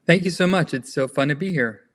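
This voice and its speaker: Bright-voiced American man, pausing often. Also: a little high. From United States. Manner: measured, with frequent um and uh pauses. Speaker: nonprofit founder, guest. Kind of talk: conversational interview answers.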